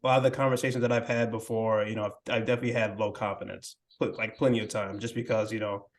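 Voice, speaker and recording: A young man talking casually as a podcast guest, softly and hesitantly, with many fillers and restarts. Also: fairly low pitch, level tone, quick pace. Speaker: podcast guest, language learning creator. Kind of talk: casual interview conversation.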